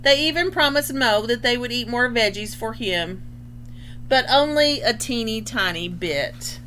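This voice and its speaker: A woman reading a children's picture book aloud expressively, pausing to explain things in simple terms. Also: on the high side, expressive, sharp tone, slight background noise. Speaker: storybook reader.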